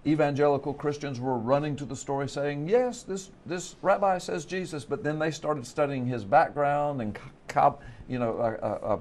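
A man's voice: a little high, normal speed, hardly any pauses. A middle-aged man from the US Gulf Coast, a pastor and author, telling a story animatedly on a TV studio panel with a lapel mic. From United States Gulf Coast. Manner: animated storytelling, gesturing with his hands. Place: TV studio set.

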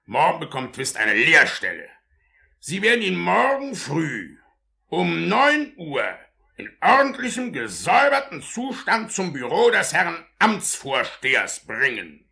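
Pompous parish official in an old radio play, bright hard edge, creaky, easily outraged. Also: male voice, a little high, wildly swooping pitch, measured pace, heavily acted. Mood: self-important. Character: pompous, self-important male parish official in old England. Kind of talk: 1950s German radio drama acting.

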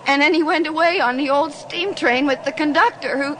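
A high-pitched young woman in a radio horror drama. She is shaken and delirious, stammering and circling back on words. The old recording is clearly noisy. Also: fairly bright tone. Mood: dazed, faltering. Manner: halting, stammering, repeating words.

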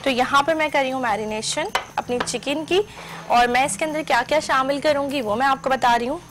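A woman's voice: high-pitched, brisk, noisy background. A middle-aged woman hosting a cooking show from a studio kitchen, explaining each step in a chatty, instructive way and mixing in English cooking terms.

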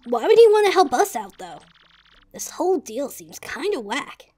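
A man voicing a brash young male Yoshi partner in a game fan dub, cocky and excitable. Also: very high voice, normal speed, soft background music, voice pushed up. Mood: skeptical.

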